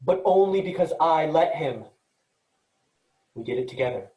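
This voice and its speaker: Excitable yet careful starship programmer guy read by an actor, lively swooping pitch, mellow tone, roomy sound. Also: moderate pace, close to an everyday voice. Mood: confessing. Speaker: actor in a student play reading. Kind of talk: virtual staged reading of a sci-fi comedy. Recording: roomy echo.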